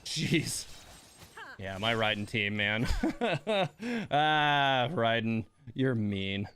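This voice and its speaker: A man's voice: mid-range voice, animated, extremely slow, bright, forceful tone. A man streaming a game, giving casual live commentary with muttered, mildly exasperated asides to himself.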